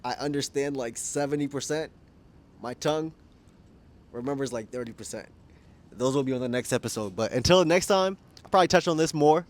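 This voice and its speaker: A man's voice: mid-range voice, a little fast. A man in his twenties, from Kenya, talking casually and candidly to camera outdoors, musing and laughing at himself. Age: 20s. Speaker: vlogger. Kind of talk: casual reflective monologue. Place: outdoors at dusk.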